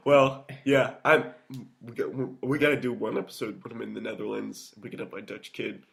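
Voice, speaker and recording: A man's voice: mid-range voice, fairly even intonation, normal speed, gravelly. A young man co-hosting a podcast, chatting casually and rambling, often restarting sentences and laughing. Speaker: podcast co-host. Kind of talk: casual chat.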